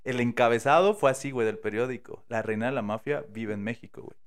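Mexican man, podcast host, smooth clear voice, slangy, animated storytelling. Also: medium pitch, fast talker. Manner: slangy, animated, acts out quotes. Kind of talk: casual storytelling chat.

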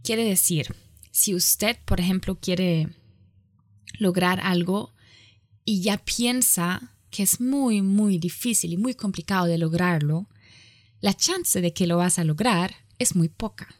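Slow, halting woman lecturing in a second language. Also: lowish voice, no echo, studio quality. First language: not Spanish. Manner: halting, self-correcting, with frequent pauses. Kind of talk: online lecture.

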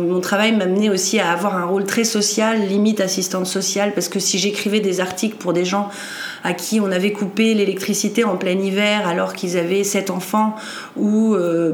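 A woman's voice: medium pitch, roomy sound, slight background noise. A woman in her forties from northern France, telling her life story as a podcast guest, hesitant with many fillers and restarts. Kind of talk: personal story in an interview.

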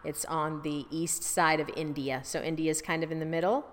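Low-voiced woman telling her life story. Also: fairly even intonation, normal speed, long reverb tail. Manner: chatty, easygoing storyteller with fillers. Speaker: interview guest. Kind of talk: casual life-story interview.

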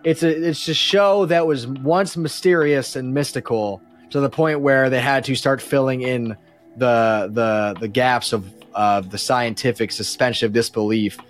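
A man's voice: mid-range voice, unhurried, faint music behind. A man chatting casually as a podcast guest, talking emphatically about things he is a fan of and swearing freely. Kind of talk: animated casual fan chat.

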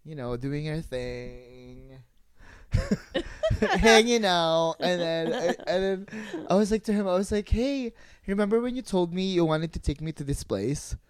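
A podcast co-host telling a personal anecdote in casual, gossipy slang with quoted dialogue, giggly and excitable. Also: medium pitch, unhurried, smooth, no room sound.

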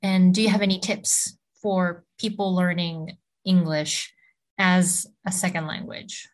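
A woman hosting an English-learning podcast, interviewing a guest with slow, encouraging questions and short backchannel replies. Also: on the low side, fairly even intonation.

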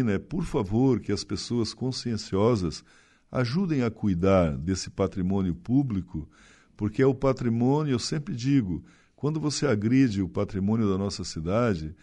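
A man's voice: fairly low pitch, dark tone. A middle-aged man from Santa Catarina with a slight gaúcho accent, speaking at length and earnestly as a city official in an interview, often ending with tag questions. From Santa Catarina, Brazil. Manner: long-winded, earnest, frequent tag questions. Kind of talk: conversational interview.

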